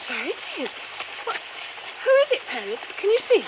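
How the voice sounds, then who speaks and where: A woman playing a caring young actress in a radio drama, practical, polite and steady under shock. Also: very high-pitched, normal speed, full character voice. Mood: alert. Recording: dull radio recording, faint noise.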